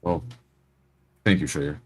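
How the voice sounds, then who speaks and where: A man playing a sincere, polite, awkward adventurer with halting speech in a tabletop game. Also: extremely low pitch, fairly even intonation, husky, heavily acted.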